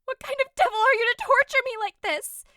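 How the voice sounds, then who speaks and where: High, bright, impassioned teenage girl played by a woman. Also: a little fast, dry recording, clean studio sound. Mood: distraught, demanding. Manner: theatrical, impassioned declaiming. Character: passionate teenage girl, newlywed noblewoman, desperate and grieving. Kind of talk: audio drama acting of modernized Shakespeare.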